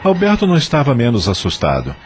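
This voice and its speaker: A man's voice: medium pitch, huge pitch swings, fast, noisy background. A man with a Brazilian accent narrating an audio novel in a scripted, dramatic style.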